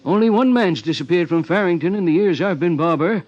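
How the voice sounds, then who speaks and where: A fussy small-town American lawman with a high-set voice for a male. He rambles in a folksy, hesitant way, like a 1950s radio character part, on a muffled old broadcast recording. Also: animated, a little fast, darkish tone. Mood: folksy, musing.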